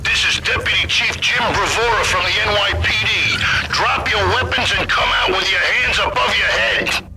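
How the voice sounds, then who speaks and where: Stern police chief barking orders in a game, voice pitched way up, loud music behind. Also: male voice, normal speed, low-quality recording. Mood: commanding. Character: stern, commanding male police deputy chief.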